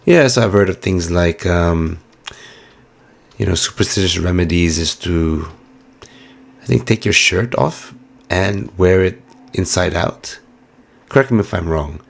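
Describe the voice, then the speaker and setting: A hoarse man giving casual, musing let's-play commentary with plenty of fillers while he plays. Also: low voice, measured pace. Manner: musing, chatty, many fillers. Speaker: game streamer.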